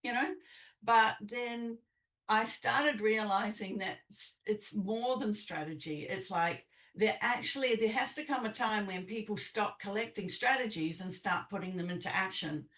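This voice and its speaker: A woman's voice: mid-range voice, measured pace, a bit bright. Echoey older New Zealand woman, podcast guest. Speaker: podcast guest, business coach. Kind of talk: conversational interview. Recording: echoey room, distant mic.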